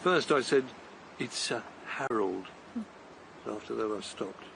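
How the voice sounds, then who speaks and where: A man's voice: lowish voice, takes it slowly, gravelly, noisy background. An actor playing a weary older man on a long walk, speaking in a hesitant, stammering, apologetic way. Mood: defeated. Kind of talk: radio drama acting.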